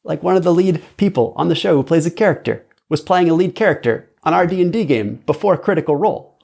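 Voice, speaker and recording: Guy with a creaky voice chatting on a podcast, english native, drops bits of halting german in. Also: on the high side, lively intonation, a little mellow. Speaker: podcast guest, audio drama creator. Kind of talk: casual interview chat.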